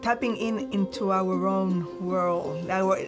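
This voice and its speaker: A woman reflects on her work in an earnest, searching way. She often uses "you know" and "like" as she looks for words. Instrumental music plays clearly under her voice. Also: medium pitch, fairly even intonation, slow pace. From Argentina. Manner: warm, earnest, with many fillers. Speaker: textile artist. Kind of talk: reflective interview.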